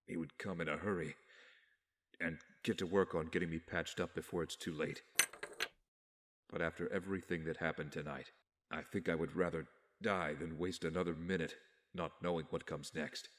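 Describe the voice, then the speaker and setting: A man playing a wounded, despairing young vigilante in an audio drama, speaking in a weak, weary, brooding and halting way. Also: low voice, steady tone, close to an everyday voice. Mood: weary, resigned. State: weak, badly wounded (acted). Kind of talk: fan audio drama inner monologue. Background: music.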